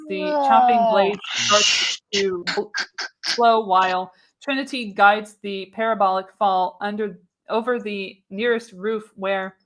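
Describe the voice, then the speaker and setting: A woman's voice: mid-range voice, noisy background. A woman narrating screenplay directions for a live radio play, reading dramatically with the odd stumble. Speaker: narrator, show host.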